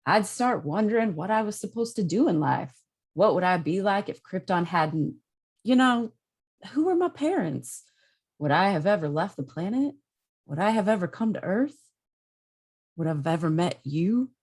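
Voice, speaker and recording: Woman as modest, wistful superhero, earnest and conversational, animated intonation, table read. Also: mid-range voice, normal speed, slightly rough. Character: earnest, self-doubting young male superhero, modest and wistful. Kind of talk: amateur script table read.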